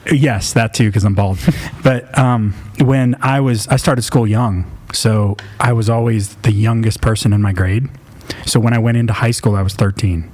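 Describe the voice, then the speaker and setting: A man in his late thirties with a fairly low voice. He talks informally as a podcast host, sharing personal stories with a self-deprecating manner. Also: fairly even intonation, normal speed, a little mellow. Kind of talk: casual chat, telling personal stories.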